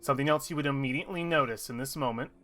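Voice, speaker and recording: Bright-voiced rambling game master. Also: male voice, medium pitch, rapid-fire, soft background music. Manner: rambling, with many fillers and restarts. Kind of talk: narrating a tabletop game.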